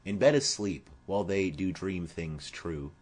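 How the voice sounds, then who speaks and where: A man's voice: deep voice, animated, unhurried, a little mellow, breathy edge, heavily acted. A man voicing a heavy-hearted, lovesick young man in a poetic, mournful Shakespeare audiobook reading. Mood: wistful.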